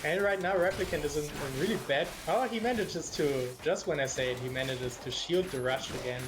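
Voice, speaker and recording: A man with a German accent doing live play-by-play commentary on a match, quick and engaged as he reacts to the action. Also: fairly high pitch. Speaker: esports commentator. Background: game music.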